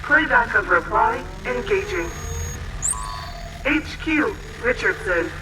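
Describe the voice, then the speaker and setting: A man's voice: very high-pitched, lively intonation, takes it slowly, noisy background, heavily acted. A man voicing a formal shipboard AI computer in a sci-fi audio drama, announcing in clipped, procedural phrases. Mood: impassive. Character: formal shipboard AI computer relaying distress messages.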